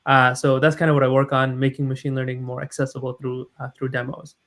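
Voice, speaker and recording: A man in his thirties who grew up in the United States, a guest telling his story in a relaxed live stream interview with frequent fillers. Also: mid-range voice, quick pace. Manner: frequent filler sounds, thoughtful and modest. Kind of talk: conversational interview.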